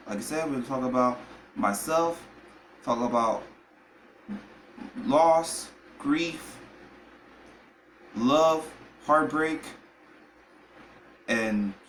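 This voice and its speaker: A man in his thirties from New York, hosting a solo podcast and talking in a rambling way with many repeated filler phrases. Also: mid-range voice. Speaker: podcast host. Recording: echoey room, faint background noise.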